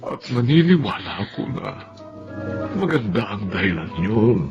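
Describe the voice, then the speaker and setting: An actor playing a gentle, worried older father in a Filipino radio drama. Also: fairly low pitch, big pitch swings, normal speed, muffled recording, over music. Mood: pleading, consoling.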